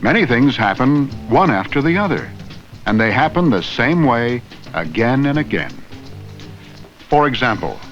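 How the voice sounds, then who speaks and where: A man giving scripted educational narration in a slow, clear, teacherly way, asking the viewer simple questions. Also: mid-range voice, big pitch swings, a bit bright. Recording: dull vintage film soundtrack with faint music.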